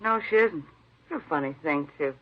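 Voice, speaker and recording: An actress playing an opinionated, slightly defensive store employee in a 1950s radio drama, talking in an emphatic, colloquial way. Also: female voice, deep voice, lively intonation, normal speed. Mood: suspicious, insistent. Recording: dull old-time radio recording.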